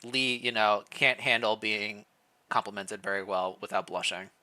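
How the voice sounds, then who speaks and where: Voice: mid-range voice, moderate pace, bright, forceful tone. A playful streamer reading a story aloud live, mixing narration with jokey casual chat.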